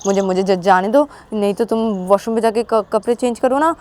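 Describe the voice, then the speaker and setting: A woman's voice: medium pitch, moderate pace, lightly acted. Woman acting shy, stammering, flustered young woman. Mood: panicked, embarrassed. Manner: heavy nervous stammer, repeating syllables and words.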